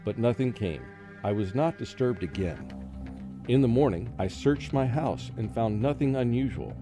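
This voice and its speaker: A man playing a frightened college professor in a radio horror drama, with a brooding, halting, dramatic delivery. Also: medium pitch, animated, close to an everyday voice. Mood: haunted. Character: frightened haunted male college professor recounting a curse. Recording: music under the voice.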